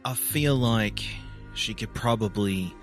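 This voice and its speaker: A man playing a tabletop game, talking hesitantly and thinking aloud in halting phrases. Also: fairly low pitch, soft background music. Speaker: player. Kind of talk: casual tabletop roleplay.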